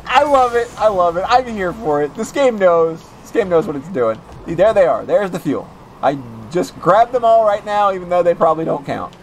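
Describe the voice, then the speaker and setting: A man in his late thirties streaming a racing game with friends, chatting casually and getting loud and excited as he plays. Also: high-pitched, moderate pace, hardly any pauses, slight background noise, rough recording. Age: 30s. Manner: excitable, yells and laughs at the game. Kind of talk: casual gaming chat.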